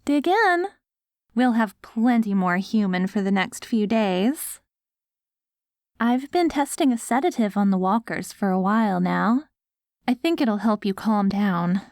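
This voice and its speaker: A woman's voice: medium pitch, animated, measured pace, a little mellow, dry recording, clean studio sound. A woman playing a doting, patient wife in an ASMR roleplay audio drama, coaxing sweetly like a caregiver. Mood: patient, encouraging. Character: doting wife caring for her ghoul husband after apocalypse.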